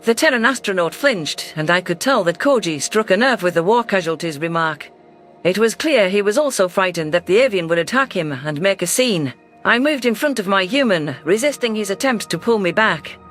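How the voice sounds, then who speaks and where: A man reading a science-fiction story in a steady, measured way, his voice sitting fairly high. Faint music plays under the narration. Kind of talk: scripted sci-fi audiobook narration.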